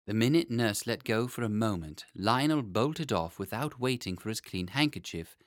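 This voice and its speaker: Male audiobook reader for children's tale: expressive, varied intonation, medium pitch, dry intimate recording. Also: no room sound. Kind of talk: animated scripted storybook narration.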